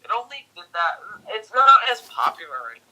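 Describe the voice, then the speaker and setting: A man with a slightly high, very bright and forceful voice debates movies casually as a co-reviewer. His pitch moves around in a lively way, and he is argumentative, readily contradicting the other speaker. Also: fast talker, low-quality recording. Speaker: guest co-reviewer.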